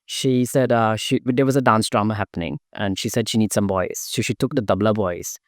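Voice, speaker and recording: Soft-spoken man from Guyana, smooth voice. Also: on the low side, fairly even intonation, brisk, no echo, studio quality. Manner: thoughtful, many fillers and restarts. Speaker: guest, Kathak dancer. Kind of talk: conversational interview answers.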